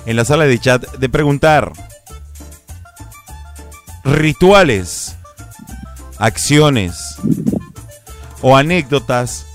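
A man's voice: mid-range voice, expressive, very slow, pauses often, faint music behind. A Mexican man hosting a radio show, chatting casually and playfully with his cousin, laughing often. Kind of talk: casual joking chat.

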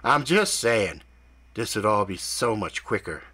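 Cocky joking demon hunter guy, kinda higher and louder, a man doing him, drops british slang. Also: big pitch swings, unhurried, slightly rough, heavily acted. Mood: breezy. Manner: joking, breezy.

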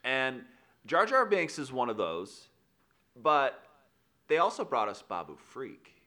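A chatty podcast co-host who explains things at length in a playful, easygoing way. His voice sits in a middle pitch but moves around a lot as he talks. He comes across as a man in relaxed, casual conversation. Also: slow pace. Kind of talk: casual chat.

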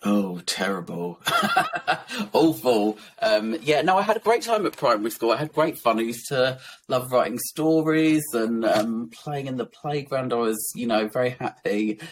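A man from Essex with a soft, gentle voice, a little croaky and tired, talking candidly and reflectively as a guest, with many ums and soft laughs. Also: mid-range voice, expressive, a little slow, a little hiss or hum. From Essex, England. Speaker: guest, children's author. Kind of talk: casual interview talk.

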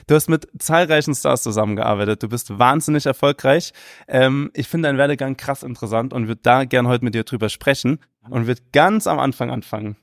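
Upbeat interview host, middle-pitched, dry close sound. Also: male voice, fairly quick, dry recording. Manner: upbeat, chatty, asks friendly questions. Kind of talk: casual interview podcast.

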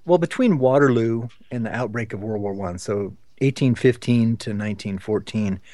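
A man co-hosting an interview, talking casually in a discursive, halting way. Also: on the low side, warm tone, no room sound. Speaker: co-host.

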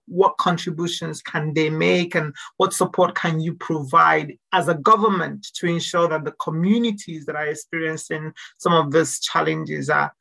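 Measured interview host. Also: medium pitch, gravelly, a bit bright. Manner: measured, repeats key phrases for emphasis. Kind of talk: formal interview hosting.

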